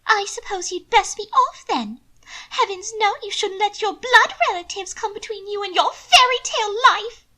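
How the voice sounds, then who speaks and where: A woman voicing a fussy, indignant young noblewoman with a shrill, theatrical manner. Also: moderate pace, pushed and bright, poor audio. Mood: tearful, indignant.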